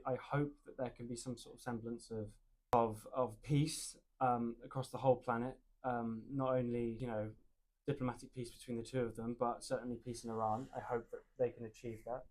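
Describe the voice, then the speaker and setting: A man's voice: medium pitch, a little mellow, low-quality recording. A man co-hosting a political podcast, agreeable and hesitant, often restarting his sentences. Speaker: podcast co-host. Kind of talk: casual political chat.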